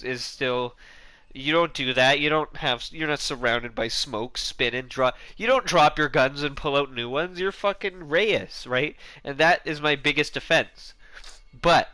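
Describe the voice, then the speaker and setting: A man talks loosely about a video game, circling back and restarting his thoughts, with casual swearing along the way. His voice is bright and clean. It sits close and dry with no room sound. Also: medium pitch, dry recording. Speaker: gaming YouTuber.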